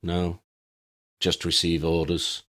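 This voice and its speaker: A man voicing a drugged, half-asleep male conspirator who answers in clipped monotone phrases. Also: low voice, slow, full character voice. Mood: dazed.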